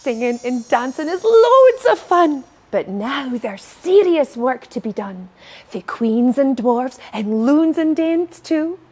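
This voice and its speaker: A woman playing a theatrical, excitable pantomime fairy godmother with a North-East Scottish Doric accent, speaking in exaggerated rhyme to children. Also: sits high, slow pace, heavily acted. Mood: excited, scheming. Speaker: pantomime performer. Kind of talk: rhyming pantomime monologue.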